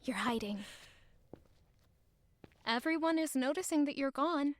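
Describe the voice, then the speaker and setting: An actress playing a gentle, concerned young confidante of a king in audio drama dialogue, soft and sympathetic. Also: sits high, measured pace, a little hiss or hum.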